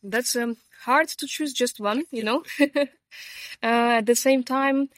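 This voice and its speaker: A woman from Ukraine, answering interview questions on a rooftop in a friendly way, pausing with fillers. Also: fairly high pitch, dry recording. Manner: friendly, pauses with fillers, laughs easily. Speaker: sales team lead, interview guest. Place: sunny rooftop at a conference.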